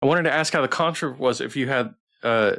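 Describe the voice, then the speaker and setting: Man, tired, livestream host, casual chat, hesitant, rambling, repeats himself, mid-range pitch. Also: a little fast, vocal fry, a bit bright. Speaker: livestream host playing a visual novel. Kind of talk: casual chat with stream viewers.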